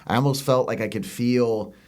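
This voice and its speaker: A man co-hosting a podcast, explaining things in a casual, loose and halting way. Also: medium pitch, a little mellow. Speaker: podcast co-host.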